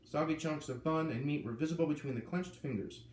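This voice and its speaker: A man reading a children's novel aloud, lively and putting on voices for the characters. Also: on the high side, fairly quick, recorded from a distance. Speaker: reader. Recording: echoey room with faint ambient noise.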